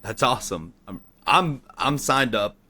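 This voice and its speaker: A man's voice: medium pitch, expressive, a little slow, sharp tone. A man hosting a casual, conversational interview. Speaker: podcast interviewer.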